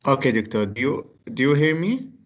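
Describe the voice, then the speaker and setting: Young man, student, hesitant, mid pitch, lo-fi online call sound. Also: sing-song, fairly quick. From Iraq. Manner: hesitant, many fillers. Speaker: university student. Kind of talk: casual talk in an online class.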